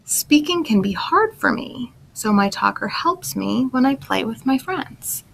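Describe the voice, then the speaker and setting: A woman's voice: fairly high pitch, expressive, unhurried, vocal fry, a bit bright, slight background noise, low-quality recording. A woman speech language pathologist explaining in simple, instructional words to young listeners, as in a picture book read-aloud.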